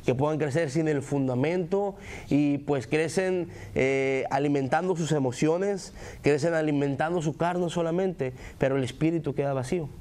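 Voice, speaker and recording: A man's voice: a little high, normal speed, darkish tone. A man hosting a ministry talk show, speaking earnestly in a conversational preaching style with occasional hesitations. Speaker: ministry host.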